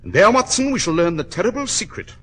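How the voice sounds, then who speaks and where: An actor playing a shrewd, secretive English detective in an old-time radio drama, clipped and authoritative. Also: male voice, high-pitched, sing-song, fast talker, a bit bright, heavily acted. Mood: grave, urgent.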